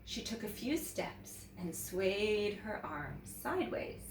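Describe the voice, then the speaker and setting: A woman teacher reading a children's story aloud in an expressive, animated way, pausing to check in with listeners. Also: medium pitch. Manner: expressive, pauses to ask listeners questions. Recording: roomy echo, distant mic, faint noise.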